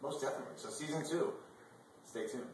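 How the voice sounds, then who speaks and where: A man in his twenties co-hosting a video blog, talking casually in a measured, earnest way. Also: fairly high pitch. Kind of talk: casual talk to camera. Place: indoor dining room at a table. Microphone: far camera microphone. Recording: echoey room, distant mic, faint noise.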